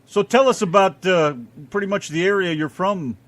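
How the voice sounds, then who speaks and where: A man's voice: high voice, moderate pace, fairly bright tone. A man hosting a casual interview in a laid-back, joking way. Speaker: interview host.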